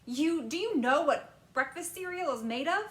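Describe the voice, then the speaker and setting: A woman voicing an eccentric, excitable male candy maker who exclaims fussily, in a storybook reading. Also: sits high, voice pushed up. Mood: exclaiming. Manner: fussy, exclamatory, dramatic pauses. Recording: roomy echo.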